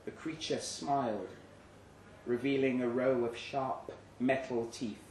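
A man reading literary fiction aloud in a dramatic first-person narration, with a grave, measured delivery. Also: mid-range voice, steady tone, darkish tone, a little hiss or hum. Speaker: story reader. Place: indoor live reading event. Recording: echoey live room.

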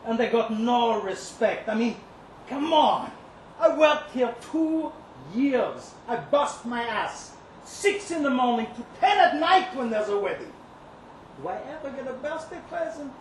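Male reader as cocky, lovesick working guy for a live story monologue; streetwise, confiding, unhurried delivery, heard in a roomy space. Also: extremely high pitch, heavily acted. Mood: resentful. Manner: colloquial, streetwise, confiding, rambling. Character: cocky, lovesick, resentful male kibbutz kitchen worker. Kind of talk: dramatic monologue read live. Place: indoor live reading event. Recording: roomy, distant live-event recording, faint noise.

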